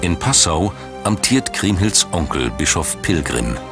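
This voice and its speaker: Male documentary narrator, very deep, measured and dramatic, music bed underneath. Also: expressive. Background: background music.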